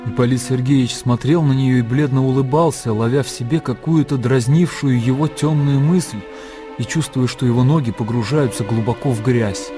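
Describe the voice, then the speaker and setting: A man narrating a literary radio drama in a measured storytelling manner. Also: mid-range voice. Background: music.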